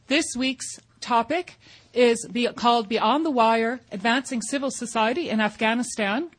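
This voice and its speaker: Lady running a public forum, kinda high voice, reads out announcements, some restarts. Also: measured pace. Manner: businesslike, with restarts. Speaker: forum moderator.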